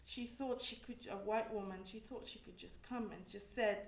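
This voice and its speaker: A woman from Mexico, an academic on a panel, speaking reflectively with frequent restarts. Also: mid-range voice. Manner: reflective, halting, many restarts. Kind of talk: personal testimony on a panel. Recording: echoey room.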